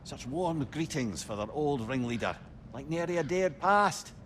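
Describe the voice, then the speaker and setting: Animated, wry old Scottish storyteller. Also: male voice, fairly high pitch, normal speed, a little hiss or hum. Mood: cheerful. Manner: wry, loquacious, old-fashioned wording. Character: genial, witty old severed head, former fairy trickster. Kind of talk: video game dialogue, storytelling banter.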